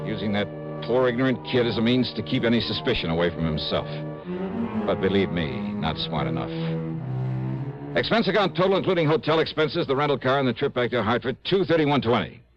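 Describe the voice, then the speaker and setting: An actor playing a tough, shrewd American insurance investigator in vintage radio noir, moving between wry narration and urgent dialogue. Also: male voice, medium pitch, big pitch swings, brisk, over music, natural voice. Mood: wry, resolved. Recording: muffled old radio recording.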